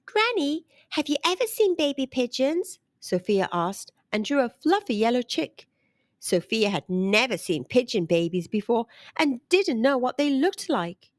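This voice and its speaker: A woman with a high voice narrates a children's storybook. Her delivery is expressive and lively, swinging widely in pitch. The recording is dry and close, with hardly any room sound. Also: measured pace, no room sound. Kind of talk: expressive scripted read-aloud storytelling for children.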